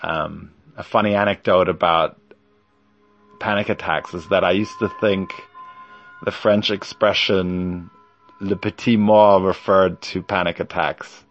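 A man's voice: low voice, steady tone, a little slow, muffled recording, soft background music. A man in his forties hosting a solo podcast, talking in a hesitant, rambling way with lots of ums and yeahs. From Germany. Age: 40s. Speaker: podcast host.

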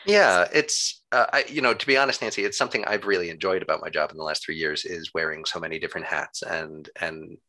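A man with a deep, bright voice and plenty of vocal fry talks as an interview guest. He explains his work at length, in an upbeat and measured conversational way. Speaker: interview guest, town government employee.

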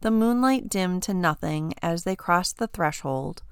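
Slow, smooth-voiced woman narrating a story. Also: lowish voice, animated, no room sound, studio quality. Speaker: author reading own short story.

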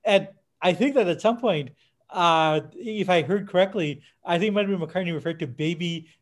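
A man in his fifties chatting casually on a podcast, halting and digressive, often interrupting himself with tangents. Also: high-pitched. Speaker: podcast co-host. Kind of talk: casual podcast discussion of music history.

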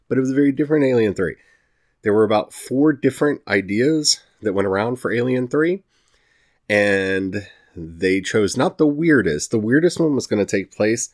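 Low-pitched man, enthusiastic podcast host. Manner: enthusiastic, emphatic. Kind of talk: casual solo podcast review.

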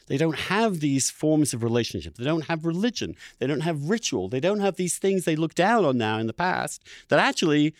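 A male podcast host in animated interview conversation, talking discursively and emphatically, building his points in repeated phrases. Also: fairly high pitch, fairly quick, hardly any pauses, no room sound, studio quality.

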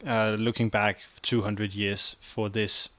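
Man, lowish monotone voice, native Danish speaker, co-host, casual interview talk. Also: slow, no room sound. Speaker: podcast co-host.

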